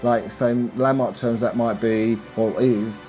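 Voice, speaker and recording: A man, a business coach, talking in a relaxed conversation, rambling and restarting his sentences, growing animated when he makes a point. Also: medium pitch, unhurried, soft background music, rough recording. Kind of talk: casual conversation.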